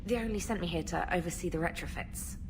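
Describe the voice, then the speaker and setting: A woman's voice: on the low side, fast talker, background noise, lightly acted. An actress playing a polite, slightly nervous female military communications specialist in a video game. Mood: nervous, earnest. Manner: polite, formal, slightly flustered. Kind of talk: video game character dialogue.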